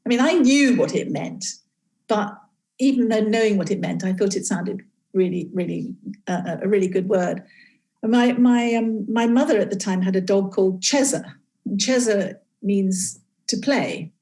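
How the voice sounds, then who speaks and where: A woman's voice: mid-range voice, creaky, dark tone. Woman who grew up in East Africa. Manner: halting, many restarts, laughs often. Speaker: guest, game inventor. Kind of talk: casual interview talk.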